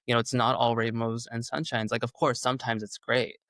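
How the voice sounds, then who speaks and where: A man's voice: mid-range voice, little pitch movement, brisk, fairly bright tone, dry recording. Chatty young man, dry close sound. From Canada. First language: Urdu. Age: young adult. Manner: rambling, many "like" and "you know" fillers. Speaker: singer-songwriter guest. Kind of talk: casual interview chat.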